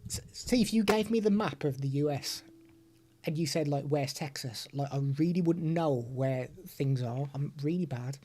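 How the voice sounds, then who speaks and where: A British man hosts a live stream, walking through a product demo in a chatty, step-by-step way. His voice is mellow and soft-edged, and he turns aside to chat with viewers between explanations. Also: fairly high pitch, normal speed. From United Kingdom.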